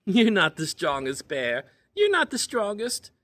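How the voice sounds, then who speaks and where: A man voicing a small, cheeky female chipmunk with a squeaky, taunting voice in a told story. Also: wildly swooping pitch, measured pace, fairly bright tone, exaggerated voice. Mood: teasing. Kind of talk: oral storytelling.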